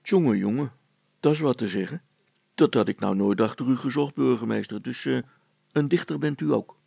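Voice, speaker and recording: Storybook villager, played by a male reader: folksy, easily impressed, plain-spoken, with a creaky voice. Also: medium pitch, moderate pace, dark tone, no room sound. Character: grumbling but good-natured village man, easily impressed. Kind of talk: acted storybook dialogue.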